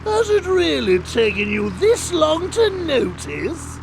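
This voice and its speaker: An actor playing a manic, cruel male clown villain in a motion comic with a gravelly voice. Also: extremely high pitch, very animated, slow pace, background noise, exaggerated voice. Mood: mocking. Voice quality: gravelly, wildly swooping. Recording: with loud music score.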